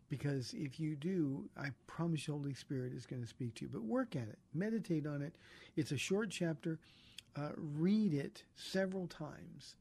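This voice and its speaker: Earnest pastor hosting a call-in show. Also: male voice, fairly high pitch, warm tone, husky. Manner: earnest, encouraging, with frequent restarts. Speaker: pastor and radio host. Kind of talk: call-in radio Bible talk.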